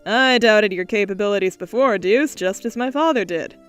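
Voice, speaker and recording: A smooth-talking wealthy industrialist man, voiced by a woman in an audiobook. He speaks in polished, formal phrases with glib confidence. Faint music runs underneath. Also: medium pitch, moderate pace, lightly acted.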